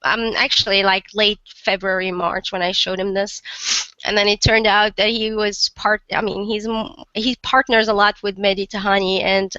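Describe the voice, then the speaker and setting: A woman in her thirties from Morocco, a guest in a casual interview, who is sick and sounds rough, chatting with lots of fillers and restarts. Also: medium pitch, little pitch movement, moderate pace, pushed and bright, no echo, dull audio. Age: 30s.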